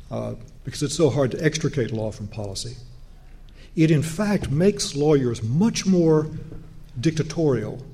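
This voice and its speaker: A soft-voiced man giving a formal panel address, measured and deliberate, with pauses. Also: medium pitch. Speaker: panelist, law professor.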